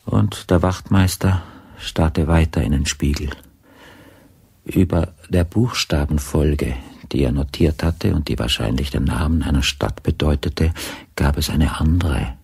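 An actor narrating a crime radio drama as an unhurried storyteller, measured and deliberate in his literary delivery. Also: very deep voice, vocal fry, darkish tone, breathy edge, dry recording, full character voice.

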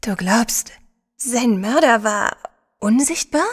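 An actress playing a shrewd, inquisitive wife of an adventurer in an audio drama, earnest and questioning. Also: female voice, fairly high pitch, expressive, slow pace, slightly rough, long reverb tail, exaggerated voice. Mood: puzzled.

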